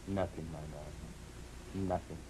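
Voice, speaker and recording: An actor playing a troubled, guilt-ridden gambling husband in an old radio drama, earnest and halting in a melodramatic style. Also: male voice, rumbling low, steady tone. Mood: evasive, subdued. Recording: slightly noisy old radio recording.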